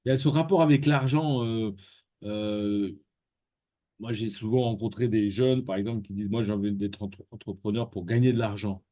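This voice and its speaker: A man hosting a casual interview, relaxed and full of fillers and small agreeing sounds. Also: fairly low pitch, normal speed, no room sound, studio quality. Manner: relaxed, frequent fillers and backchannels. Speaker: interview host.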